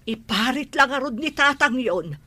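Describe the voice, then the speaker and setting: Anxious, firm mother, husky edge. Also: sits high, expressive, normal speed, pushed and bright, full character voice. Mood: firm, chiding. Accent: Ilocano. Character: anxious, firm rural mother of grown daughters. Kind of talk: radio drama acting.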